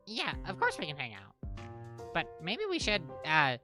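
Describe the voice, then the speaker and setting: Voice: medium pitch, huge pitch swings, moderate pace, music in the background, pitched above the performer's own voice. A voice actor voicing an awkward, earnest young teen boy for a game read-through. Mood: apologetic. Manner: apologetic, nervous, eager to please. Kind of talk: voicing a visual novel character.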